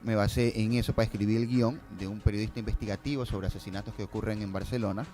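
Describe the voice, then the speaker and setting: Man chatting, music softly behind. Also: lowish voice, fairly even intonation, quick pace. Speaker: guest filmmaker. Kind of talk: casual conversational storytelling and explanation.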